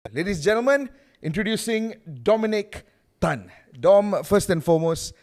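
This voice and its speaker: A man's voice: high-pitched, animated, moderate pace, darkish tone. A man with a Malaysian accent hosting a casual sit-down interview in a chatty, encouraging way. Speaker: podcast host.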